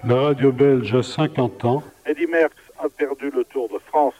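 A man's voice: mid-range voice, fairly even intonation, measured pace, muffled recording, soft background music. A man presenting on radio in a theatrical, playful way, slipping into foreign phrases.

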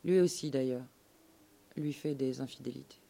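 A woman narrating a scripted radio documentary story in measured, literary phrasing. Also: extremely low pitch, gravelly, warm tone.

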